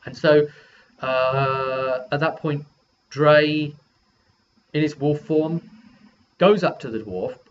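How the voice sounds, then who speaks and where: A man's voice: fairly high pitch, takes it slowly. Man recounting a game session, dull recording. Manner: rambling, acts out quoted dialogue informally. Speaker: game master recapping a tabletop game session. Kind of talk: casual recounting of a role-playing session. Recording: dull lo-fi audio with background noise.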